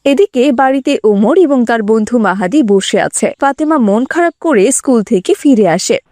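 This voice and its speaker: A woman's voice: fairly high pitch, animated, moderate pace, darkish tone, no echo, clean studio sound. Woman narrating a children's cartoon story.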